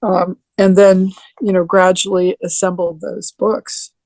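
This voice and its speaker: Older woman, deeper voice, rambling video-call interview guest, dry close sound. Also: flat delivery, a little slow, darkish tone, no echo. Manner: rambling, many restarts and ums. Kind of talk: relaxed reminiscing over a video call.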